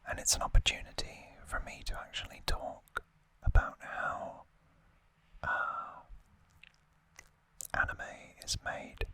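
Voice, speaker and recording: A man whispering in a hushed, deliberate manner, as an ASMR host chatting through an unboxing. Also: poor audio.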